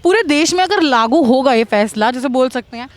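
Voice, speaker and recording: Woman, high pitch, street reporter, vox pop questioning, outdoors. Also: animated, fairly quick, no room sound.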